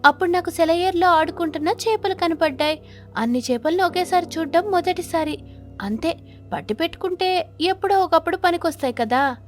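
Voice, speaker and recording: A woman voicing a terrified stork in an animated children's story, flustered, pleading and evasive. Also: very high-pitched, a little fast, faint music behind, pitched above the performer's own voice.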